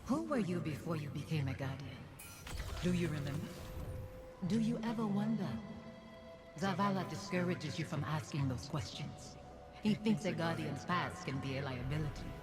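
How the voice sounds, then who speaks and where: Sly game antagonist, male actor: lightish high register, goading and insinuating, with clear music behind. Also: expressive, moderate pace, close to an everyday voice. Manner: insinuating, probing questions. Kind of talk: dramatic video game acting.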